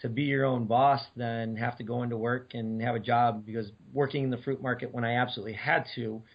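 A middle-aged man from Detroit, hoarse and scratchy from a cold, answering interview questions with many fillers. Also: mid-range voice, fairly quick. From Detroit, Michigan. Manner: relaxed, many uh fillers and restarts. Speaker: guest business owner. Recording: dull lo-fi remote audio.

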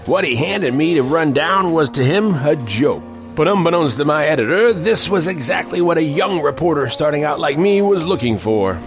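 An actor playing an older male reporter who narrates a strange frontier tale in an emphatic, deliberate storytelling manner. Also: on the high side, expressive, natural voice. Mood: intrigued. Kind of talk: dramatic scripted first-person narration. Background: music bed.

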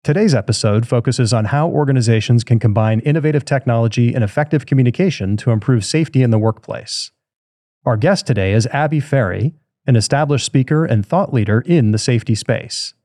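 Guy hosting a podcast, mellow dry voice, friendly and easygoing. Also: mid-range voice, a little fast, dry recording. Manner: friendly, scripted intro then easy conversational questions. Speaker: podcast host. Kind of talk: podcast interviewing.

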